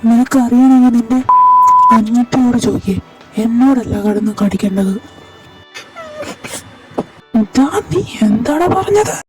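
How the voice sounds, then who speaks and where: A woman voicing an angry, protective older brother who confronts a rival in loud, accusing bursts. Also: a little high, animated, a little slow, long pauses. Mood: furious. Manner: shouting, confrontational. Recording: distant mic over clear music.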